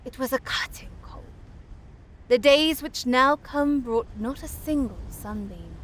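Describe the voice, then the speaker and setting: Slow, high-voiced woman storyteller. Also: lively intonation, fairly bright tone. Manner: careful, measured storytelling. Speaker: audiobook narrator. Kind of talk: expressive scripted fairy tale narration.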